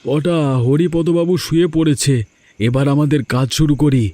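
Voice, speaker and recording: A man's voice: fairly high pitch, normal speed, mellow, no room sound, soft background music, natural voice. A man voicing an honest middle-aged father in a cartoon, earnest and fatherly. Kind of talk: Bengali cartoon dubbing.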